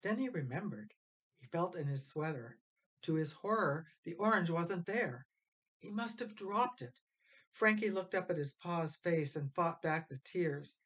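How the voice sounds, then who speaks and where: Low-pitched woman reading a storybook. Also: expressive, a little slow, fairly bright tone. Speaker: storybook reader. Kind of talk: expressive scripted narration of a children's story.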